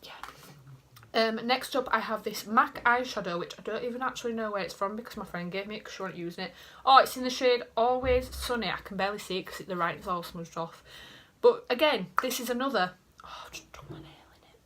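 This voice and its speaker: Chatty young Yorkshire woman, bright voice. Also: fairly high pitch, fairly quick. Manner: chatty, rambling, self-mocking asides. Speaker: beauty vlogger. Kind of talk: casual chat to camera. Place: small bedroom indoors. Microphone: camera in front at desk height.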